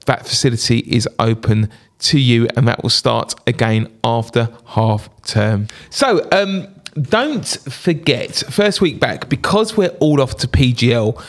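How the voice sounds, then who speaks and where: A man's voice: mid-range voice, unhurried. A man hosting a school podcast, giving chatty, upbeat announcements to parents with jokey asides.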